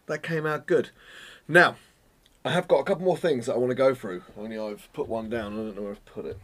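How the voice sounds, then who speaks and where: A man, chatting casually to camera as a photography vlogger, self-mocking and full of jokey asides, a little hoarse from a cold. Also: fairly high pitch. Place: home studio room, indoors.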